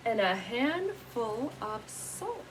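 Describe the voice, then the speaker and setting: Woman playing a wily, coaxing young man, very expressive. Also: fairly high pitch, slow, a little hiss or hum, poor audio. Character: hungry young man, confident, wily storybook traveller.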